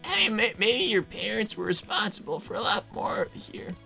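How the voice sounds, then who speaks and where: A woman's voice: medium pitch, very animated, moderate pace, creaky, bright, forceful tone, slightly rough, soft background music, heavily acted. A woman voicing a mild young male fox who is hesitant, stammering and conciliatory, in improvised livestream comedy. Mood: uneasy.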